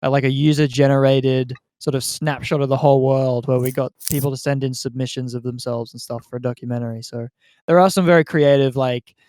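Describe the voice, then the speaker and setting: A man's voice: mid-range voice, steady tone, brisk, darkish tone. A man, hosting a casual podcast chat among friends. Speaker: podcast host.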